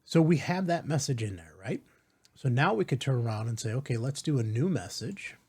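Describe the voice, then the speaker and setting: A man hosting a casual step-by-step software tutorial, explaining as he goes and often checking in with the listener. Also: mid-range voice, lively intonation, fairly quick, slightly rough. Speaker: tutorial host.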